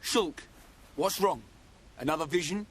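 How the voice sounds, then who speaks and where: A man's voice: fairly high pitch, a little slow, a little hiss or hum, close to an everyday voice. A man playing a loyal, simple young fighter in a video game, talking bluntly and casually. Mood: concerned. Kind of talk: video game cutscene acting.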